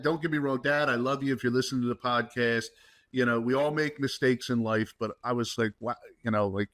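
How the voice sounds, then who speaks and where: A male podcast host speaks in a casual, conversational style. His pitch sits in the middle for a man, and he frames his questions loosely and informally. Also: pushed and bright. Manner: informal, reacts and asks follow-up questions.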